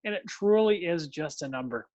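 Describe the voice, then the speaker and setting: A man's voice: a little high, expressive, normal speed, darkish tone, highs cut off. A man, chatting casually on a podcast in an easygoing, rambling, self-amused way. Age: 30s. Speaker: podcast co-host. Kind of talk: casual chat.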